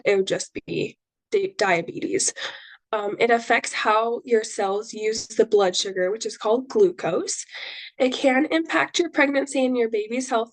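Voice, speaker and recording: A woman's voice: on the high side, poor audio. A young woman dietitian getting over a cold, presenting a webinar in a chatty, reassuring way with many ums. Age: young adult. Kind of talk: casual educational presentation.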